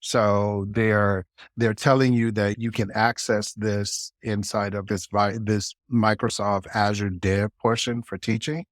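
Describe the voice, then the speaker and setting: A man's voice: fairly low pitch, level tone, a little slow, no echo, studio quality. A man speaking informally and directly to viewers as he walks them through things like a student video creator. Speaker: student video creator giving a course walkthrough. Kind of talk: casual explanatory walkthrough.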